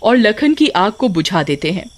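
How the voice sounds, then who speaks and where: A woman storyteller reading scripted narration for an animated tale. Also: mid-range voice, moderate pace, slight background noise.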